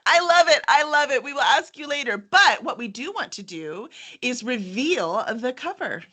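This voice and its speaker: Female host, bright tone, enthusiastic and conversational, able to slip into reading copy aloud. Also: sits high, big pitch swings, normal speed. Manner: chatty, enthusiastic. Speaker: host and book publisher's representative. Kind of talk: upbeat interview chat with some reading aloud.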